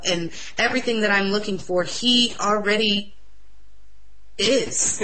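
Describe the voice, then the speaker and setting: A young woman with a loud voice, sharing an earnest, excitable personal religious testimony in an interview. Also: medium pitch, noisy background.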